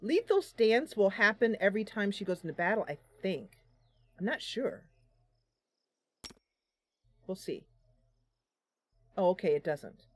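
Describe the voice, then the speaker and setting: A woman doing casual live game commentary as a streamer, chatty and a bit goofy, thinking out loud as she goes. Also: mid-range voice, big pitch swings, measured pace, long pauses. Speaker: streamer and host of a gaming channel.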